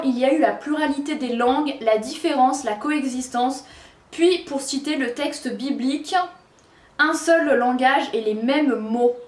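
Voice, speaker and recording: A woman's voice: high-pitched, roomy sound. A woman talking casually about a book she read, hesitating often with fillers. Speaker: book reviewer.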